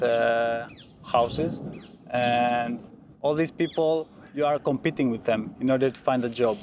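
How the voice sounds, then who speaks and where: A young Greek man hosts a relaxed podcast conversation in accented English. His voice sits in the middle of the range and his manner is easygoing and agreeable. A faint hiss runs under the recording. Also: medium pitch. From Greece. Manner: easygoing, quick to laugh and agree. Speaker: podcast host.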